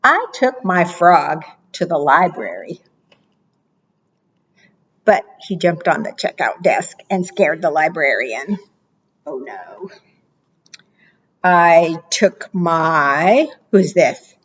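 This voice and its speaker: A woman librarian reading picture books aloud to preschoolers, with expressive, animated delivery, asking the children questions and praising their answers. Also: muffled recording. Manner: expressive, animated read-aloud with playful pitch jumps.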